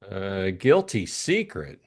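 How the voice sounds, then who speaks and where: A man voicing a flustered, indignant young upper-class gentleman in an audiobook, protesting as he talks. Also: low-pitched, wildly swooping pitch, takes it slowly, exaggerated voice. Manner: protesting, stammering repetition. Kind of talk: audiobook character acting.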